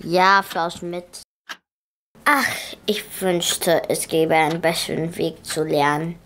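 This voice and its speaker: A woman's voice: on the low side, steady tone, slow, a bit bright, slight background noise. A woman voicing an earnest, creative schoolgirl in a children's audio drama, talking in a slightly pleading way.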